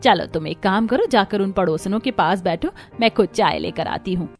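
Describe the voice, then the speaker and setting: A woman playing a warm yet stern middle-aged mother-in-law, higher voice, audio story, soft music behind. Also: expressive, normal speed, close to an everyday voice. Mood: soothing. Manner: kind but stern. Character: caring middle-aged mother-in-law, firm with her son.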